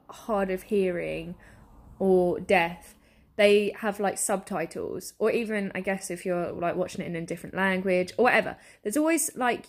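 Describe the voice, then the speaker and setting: Woman, casual podcast chat, rambly and giggly. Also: medium pitch. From southeast England. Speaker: podcast co-host.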